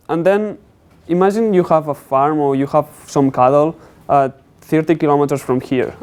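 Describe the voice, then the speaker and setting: Young guy presenting his tech project, clear smooth voice, kinda hesitant. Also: medium pitch, darkish tone. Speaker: student presenter. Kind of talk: technical capstone presentation.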